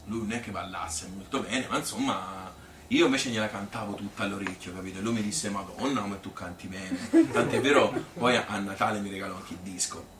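A man's voice: on the low side, fairly quick, close to an everyday voice. A man playing a chatty, self-amused Tuscan woman who rambles through her courtship memories in a folksy theatrical monologue. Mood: amused, nostalgic. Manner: rambling, folksy, filler-laden. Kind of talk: theatrical monologue, colloquial storytelling. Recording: echoey room, distant mic, hissy noise.

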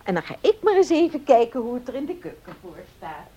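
Chatty lady of the house, husky, hugely varied pitch, slightly forgetful, radio drama. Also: quick pace, muffled recording. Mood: interested. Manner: chatty, animated. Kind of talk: Dutch radio play acting.

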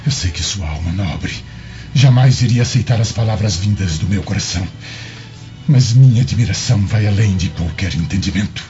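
A smooth, lovestruck Roman governor in a radio drama, acted by a man. The voice is mellow, earnest and ornate. It is a dull recording with faint music underneath. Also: fairly low pitch, moderate pace, close to an everyday voice. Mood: pleading, ardent.

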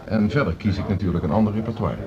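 Guy playing confident eager music teacher, radio drama, talks fast, dull sound w people murmuring behind. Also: fairly low pitch, crowd noise, natural voice. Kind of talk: Dutch social radio drama acting.

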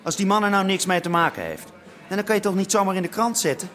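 An actor playing a nervy, cautious, irritable activist in a radio drama, agitated and impatient. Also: male voice, high voice, fast, a bit bright, soft background music. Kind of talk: naturalistic radio drama dialogue.

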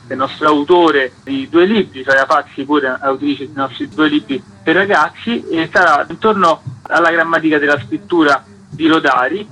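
A chatty man, his voice bright and fairly high, talking about his festival as a radio guest. He rambles a little, with fillers and offhand jokes. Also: breathy edge, poor audio. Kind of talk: radio interview. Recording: radio broadcast.

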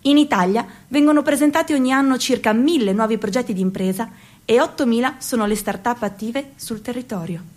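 A young woman performing a theatrical monologue for a radio documentary. She is slow and dramatic, drops to whispers and breaks into sudden cries. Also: a little high, darkish tone, a little hiss or hum. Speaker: narrator and performer.

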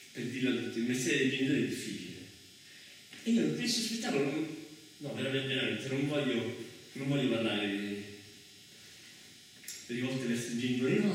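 A man performing a theatrical comic monologue as a solo stage actor, halting and interrupting himself, then swelling into declamation. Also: mid-range voice, slight background noise. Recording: echoey hall, far from the mic.

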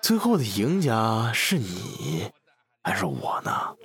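A man playing a sly older gambling boss who taunts with drawn-out mock courtesy in a Chinese audio drama. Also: lowish voice, wildly swooping pitch, fairly bright tone, a little hiss or hum, full character voice. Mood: mock-courteous, taunting.

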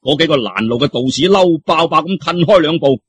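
A man narrates martial arts fiction with a Cantonese accent, chatting straight to his listeners. His voice is husky and swings widely in pitch, recorded close and dry. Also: lively intonation, a little fast, dry recording. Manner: colloquial, interjecting, addresses listeners. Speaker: storyteller.

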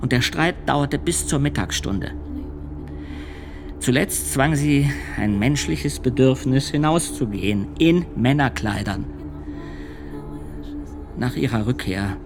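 A man playing a sombre male witness testifying at a trial in a radio drama, telling his account in a measured, hushed way, with music underneath. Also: medium pitch. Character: male church usher, a grave witness.

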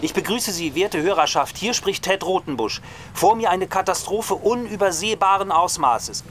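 A man's voice: sits high, lively intonation, moderate pace, fairly bright tone, slight background noise. A man acting in a German radio drama as a cynical, hot-tempered radio reporter in his thirties, pompous and given to coarse cursing. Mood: pompous, dramatic. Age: 30s.

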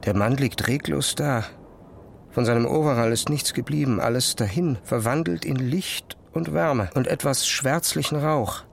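An actor playing an obsessed, haunted physicist, thinking aloud in an intense, fragmented, brooding inner monologue for an audio drama. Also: male voice, medium pitch, normal speed, creaky, a little hiss or hum, close to an everyday voice. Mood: transfixed.

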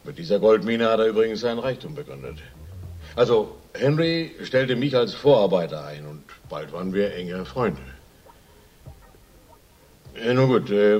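An actor playing a bulky, evasive middle-aged racehorse owner and former miner in a radio crime drama, hesitant and self-justifying. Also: male voice, on the low side, very animated, a little mellow, husky. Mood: hesitant, chatty. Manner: hesitant, halting, self-justifying, with stumbling fillers.

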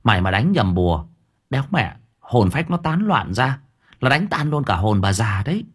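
A man's voice: medium pitch, big pitch swings, moderate pace, breathy edge. A man voicing a bossy, foul-mouthed young woman exorcist who scolds shrilly. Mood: scolding.

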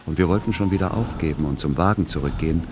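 An actor playing a middle-aged, exasperated literary aesthete son who also narrates a radio play in measured words. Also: male voice, deep voice, lightly acted. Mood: melancholic, reflective.

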